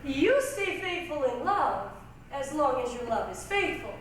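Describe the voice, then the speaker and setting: A very reverberant, distant stage recording carries a high female voice. A woman plays a solemn fortune teller reciting in readers' theatre, theatrical and incantatory. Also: very animated, measured pace. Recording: very echoey stage, distant mic, faint noise.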